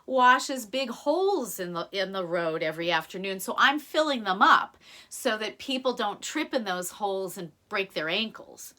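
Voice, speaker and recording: An earnest, helpful buffalo from a folktale, voiced by a woman storyteller. It explains itself simply in a bright voice. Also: mid-range voice, animated, normal speed.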